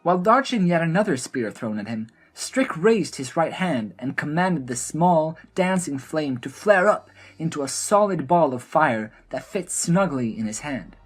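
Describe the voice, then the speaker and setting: A woman narrates a scripted fantasy tale. Her voice is very low and husky, moving expressively up and down as she tells the story. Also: animated, a little slow, few pauses, faint music behind.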